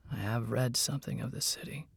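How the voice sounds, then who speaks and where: A man voicing a sickly, cynical mage who speaks slowly and secretively in a menacing, hissing whisper. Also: medium pitch, gravelly, fairly bright tone, breathy edge, no echo, clean studio sound, full character voice. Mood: ominous. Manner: slow, deliberate.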